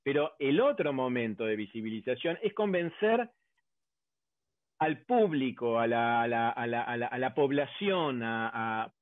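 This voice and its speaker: A man's voice: mid-range voice, lively intonation, a little slow, no echo. A man from Argentina with an Argentine accent, an academic on a panel, arguing at length with many hesitant fillers. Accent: Argentine Spanish. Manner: hesitant, many fillers, builds arguments step by step. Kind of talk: round-table discussion. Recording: dry, tinny phone-like line.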